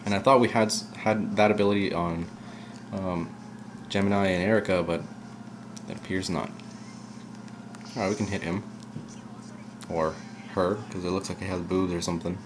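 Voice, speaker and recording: Young male let's-player, low and sometimes monotone, loose rambling delivery; camera mic with light background hiss. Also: normal speed, pauses often. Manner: rambling, chatty, drifts off topic. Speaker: let's play gamer. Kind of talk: casual gameplay commentary. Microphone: camera aimed at the game screen.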